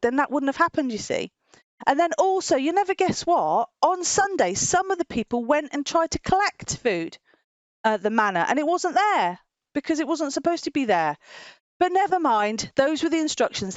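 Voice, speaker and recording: Higher-pitched woman telling children's stories. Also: sing-song, normal speed, no room sound. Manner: simple explanatory phrasing with frequent repetition and chuckles. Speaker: children's Bible story presenter. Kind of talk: informal storytelling to a puppet.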